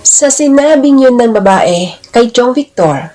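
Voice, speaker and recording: Female drama narrator, higher register, first-person storytelling over a music bed. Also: animated, unhurried, mellow. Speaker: storyteller of a radio-style love drama.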